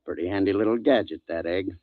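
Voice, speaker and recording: An actor playing a wry, excitable spaceship crewman with incredulous exclamations in an old-time radio drama. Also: low voice, fast, no echo, lightly acted. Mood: weary, wry. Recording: muffled, dry old radio recording.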